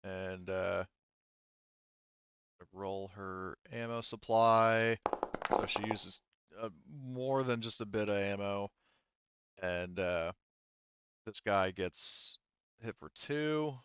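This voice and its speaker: A man acting as game master in a tabletop roleplaying game, improvising narration, thinking aloud haltingly and swearing casually. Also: on the low side, lo-fi sound, noisy background.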